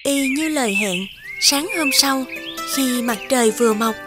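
A woman's voice: sits high, unhurried. A woman reading scripted storybook narration for a cartoon. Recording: music behind the voice.

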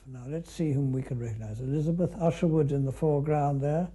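Older man, British accent, reminiscing commentary, conversational, musing asides, very mellow tone, slightly high pitch. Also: fairly quick, slightly rough. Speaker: canoeist recalling an expedition.

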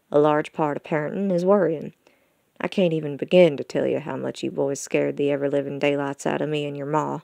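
A woman's voice: deep voice, gravelly, a little mellow, no room sound, clean studio sound. A woman voicing an older male wolf, a folksy, good-humored farm father, in an audiobook story. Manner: folksy rural phrasing with y'all and ain't-style grammar. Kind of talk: audiobook character dialogue.

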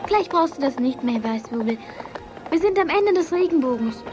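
Cheerful young girl, very high voice, kind, reassuring, children's radio play, music behind. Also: animated, normal speed. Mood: friendly. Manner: reassuring, gently chiding.

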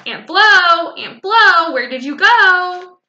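A woman voicing an excitable, bossy little girl in a children's storybook, gushing and emphatic with childish grammar. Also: a little high, a little slow, muffled recording. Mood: boastful excitement. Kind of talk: children's storybook read-aloud.

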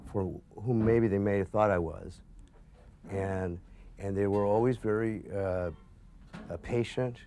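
Male interviewee, 40s, low-pitched, reflective, hesitant unscripted testimonial. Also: darkish tone. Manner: halting, reflective. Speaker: library patron interviewee. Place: indoors in a library.

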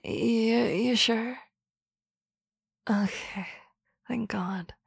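A woman's voice: fairly high pitch, very slow, vocal fry, husky, no echo, studio quality, full character voice. A woman playing a tipsy, flustered boss. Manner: slurry, rambling, often whispering. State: drunk. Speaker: voice actress. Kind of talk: ASMR roleplay.

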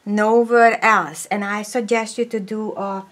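Slow-talking woman explaining a craft. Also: mid-range voice, fairly even intonation, a bit bright. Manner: slow, step-by-step, drops to a whisper while working. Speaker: papercraft tutorial host. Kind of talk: casual crafting tutorial. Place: craft table indoors, overhead camera.

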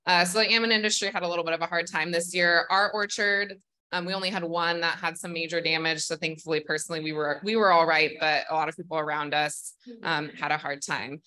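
A woman's voice: lowish voice, fairly even intonation, fast, bright, forceful tone. A young woman from Northern California introducing herself as a family farmer on a conference panel, friendly and explanatory with frequent ums. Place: conference room with audience.

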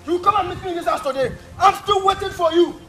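A man's voice: sits high, fast talker, natural voice. An actor playing a jealous young village man, who stammers and repeats himself as he pleads with and challenges his father. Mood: defiant. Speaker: actor in a comedy skit.

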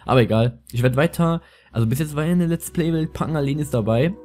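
A man's voice: fairly high pitch, fast, a little mellow. A young man with a lisp giving casual, rambling, slangy gameplay commentary as a let's player. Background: music.

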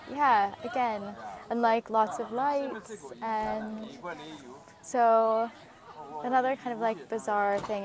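Chatty woman vlogging outdoors on a phone. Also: mid-range voice. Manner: chatty, rambling, lots of ums and likes. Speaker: travel vlogger. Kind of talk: casual travel vlog. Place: outdoors at scenic sites. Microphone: phone at arm's length with earphone mic.

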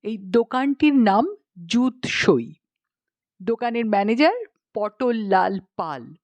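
A woman narrating a humorous audiobook story in an expressive, scripted reading style. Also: fairly high pitch, slow, no room sound, lo-fi sound.

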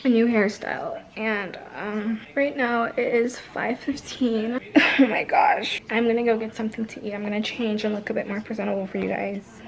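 A woman's voice: a little high, fairly even intonation, moderate pace, hardly any pauses, rough recording. Chatty teenage girl vlogging at home. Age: teens. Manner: chatty, talks straight to viewers, often drops to a whisper. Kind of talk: casual day-in-the-life vlog. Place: bedroom and kitchen at home, indoors. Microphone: handheld camera close to face.

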